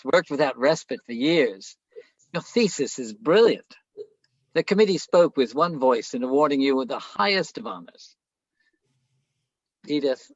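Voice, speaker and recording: An actor playing an older, self-absorbed philosophy professor in a radio play, lecturing pompously and haltingly. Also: male voice, mid-range voice, no echo, muffled recording. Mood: approving, then weary, lost.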